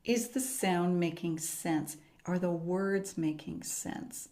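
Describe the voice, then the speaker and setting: A middle-aged woman voice teacher explaining her method as a podcast guest, in a calm, measured, unhurried way. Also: lowish voice.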